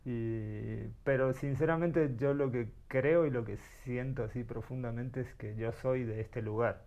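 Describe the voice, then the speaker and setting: A man's voice: mid-range voice, fairly even intonation, unhurried, dark tone, lo-fi sound. Reflective middle-aged man. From Uruguay. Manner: thoughtful, searching for words, frequent restarts. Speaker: guest, artist. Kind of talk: reflective interview storytelling.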